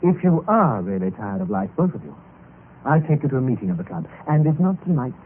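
Male actor as earnest young gentleman recruiter in a radio mystery. Courteous, intense, very expressive pitch, noisy old recording. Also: brisk, narrow, tinny audio, close to an everyday voice. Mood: ominous. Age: young adult. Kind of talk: old-time radio drama acting.

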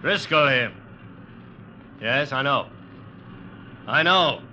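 Dutiful watch officer, crisp deferential reports, mid-pitched, vintage radio drama with heavy noise. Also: male voice, animated, slow pace, sharp tone, heavily acted. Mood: dutiful, concerned. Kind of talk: 1950s radio drama acting. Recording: muffled, noisy old radio recording.

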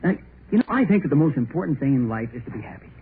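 Animated young husband, tinny old radio. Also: male voice, a little high, very fast. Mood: carefree, earnest. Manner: emphatic, repeats himself. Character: young working husband, insistent, carefree yet money-worried. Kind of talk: 1930s radio drama acting. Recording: noisy, narrow-band 1930s radio.

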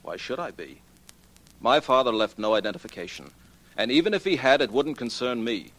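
An actor playing a restless, defensive heir under suspicion, emphatic and protesting, in 1940s radio style. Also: male voice, mid-range voice, lively intonation, a little fast, a bit bright. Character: restless, defensive wealthy heir, a male suspect. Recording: noisy old 1940s radio recording.

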